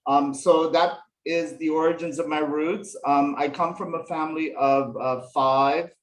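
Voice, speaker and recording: A man's voice: fairly high pitch, steady tone, unhurried, poor audio. A man from Nepal, a fashion illustration professor, telling stories from his life as an interview guest, with lots of fillers. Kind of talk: relaxed storytelling interview answers.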